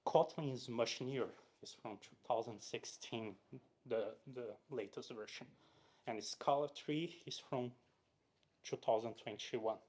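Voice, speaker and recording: Brazilian-born guy doing a casual tech talk, mid pitch, lots of "you know" and restarts. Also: unhurried, a little mellow. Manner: chatty, with frequent fillers and restarts, and asks the audience questions. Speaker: conference speaker. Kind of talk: casual technical talk to a live audience. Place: meetup room in front of an audience.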